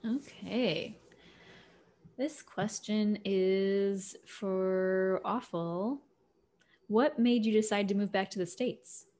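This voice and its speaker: A woman in her thirties hosts a meetup on a video call at an unhurried pace. She reads out questions in an easy, encouraging manner at a middling pitch. She uses earbuds and a desk mic at home. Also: mellow. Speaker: host. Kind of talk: casual video call chat. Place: room at home.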